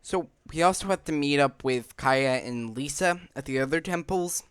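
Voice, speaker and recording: A man's voice: on the high side, normal speed, clear, resonant tone, dry recording. A man giving casual gameplay commentary, rambling and thinking aloud as he hosts. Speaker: gaming video host. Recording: game music behind the voice. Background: video game music.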